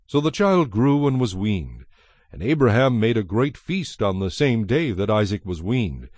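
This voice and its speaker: A man giving a measured, solemn narration of scripture as the narrator of a dramatized production. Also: fairly low pitch, very animated, dry recording. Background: soft music.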